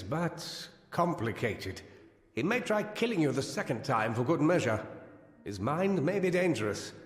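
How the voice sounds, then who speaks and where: An actor playing a learned, earnest gnome scholar in a fantasy game, precise and formal in his speech. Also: a little high. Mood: earnest, cautioning. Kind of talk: scripted fantasy game dialogue. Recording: echoey hall reverb, music behind.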